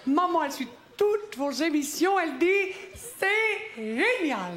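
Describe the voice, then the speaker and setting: Man doing a shrill gushing woman fan. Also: sing-song, roomy sound, background noise, full character voice. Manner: slow gushing squeal.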